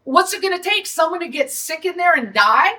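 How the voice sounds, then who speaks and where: A woman's voice: very high-pitched, animated, a little fast, pushed and bright, recorded from a distance. A middle-aged woman from Detroit with a Detroit accent, a restaurant owner holding a blunt, indignant press conference.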